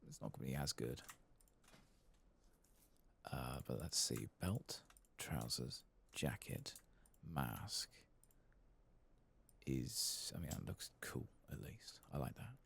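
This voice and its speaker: A man speaking softly and at times whispering in ASMR gameplay commentary, murmuring and musing to himself close to the microphone. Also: very deep voice, sing-song, slow pace, vocal fry, pauses often, slight background noise. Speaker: host of a gaming ASMR channel.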